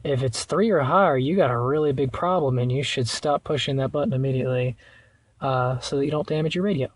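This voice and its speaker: A man giving a casual hands-on how-to in an informal, halting way, thinking aloud as he goes. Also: mid-range voice, dry recording. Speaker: vlogger showing a car radio install.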